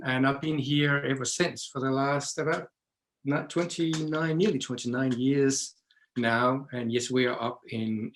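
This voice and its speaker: A man, a guest telling his story in a podcast interview in an unhurried, reflective way. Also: mid-range voice, a bit bright. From Denmark. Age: 60s.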